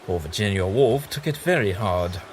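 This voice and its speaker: A man's voice: lowish voice, lively intonation, moderate pace, close to an everyday voice. A man playing a kindly, bookish, eccentric time traveller with an amiable, slightly absent-minded manner in an audio drama. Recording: audible background noise.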